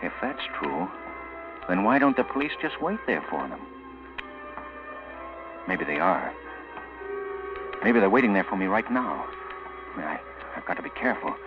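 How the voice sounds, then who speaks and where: An actor playing a guilt-haunted, jittery murderer in a 1940s radio drama monologue, halting and melodramatic. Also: male voice, low-pitched, sing-song. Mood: fearful, agitated. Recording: dull vintage radio recording, music behind. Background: dramatic music.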